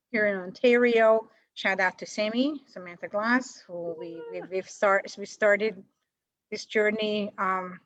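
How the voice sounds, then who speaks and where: A woman's voice: medium pitch, no room sound, dull audio. A woman in her forties with a Hungarian accent, talking as a guest in an interview, chatty and self-deprecating, with lots of fillers and restarts. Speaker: guest, paralegal. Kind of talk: interview over video call.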